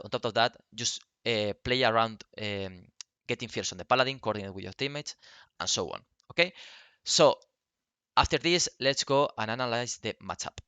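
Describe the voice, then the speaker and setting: A man who is not a native English speaker, giving unscripted game strategy commentary in a halting, instructional way. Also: mid-range voice, pushed and bright, clean tone, dry recording. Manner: halting, instructional, often checking with "okay?".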